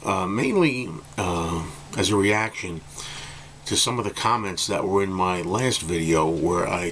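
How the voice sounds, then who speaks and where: Low-voiced, slightly hoarse middle-aged man. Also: a bit bright, a little hiss or hum. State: slightly hoarse, just woken up. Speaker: music vlogger reviewing albums. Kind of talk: casual opinion talk to camera. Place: indoor room with bookcases at home.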